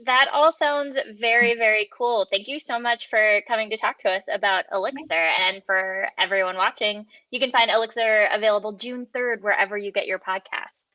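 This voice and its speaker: A woman's voice: medium pitch, steady tone, normal speed, few pauses, pushed and bright, no echo, dull audio. A woman hosting a casual online interview, with an enthusiastic, friendly manner. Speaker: interviewer, host.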